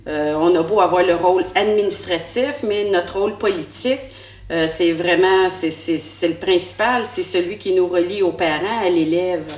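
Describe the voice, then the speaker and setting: Woman, 50s, Québécois French accent, school board candidate, earnest, halting restarts, roomy echoey recording. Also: on the low side, darkish tone. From Quebec, Canada. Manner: earnest, repeats words while searching, many "euh" fillers. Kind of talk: campaign interview answers. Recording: echoey room.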